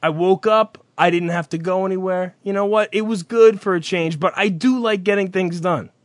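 A man's voice: high-pitched, normal speed, no room sound. A man co-hosting a casual talk show, teasing and crude in his banter. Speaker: podcast co-host.

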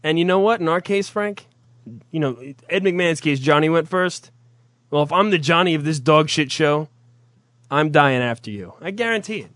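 A man's voice: fairly high pitch, moderate pace, fairly bright tone, no echo, clean studio sound. A man hosting an internet radio show, teasing and profane in casual comic banter.

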